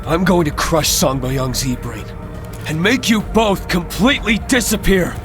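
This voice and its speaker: A defiant video game hero. His voice sits slightly high, and he talks in a terse, grim, confrontational way over faint music. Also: measured pace. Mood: grimly resolute. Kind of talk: video game cutscene acting.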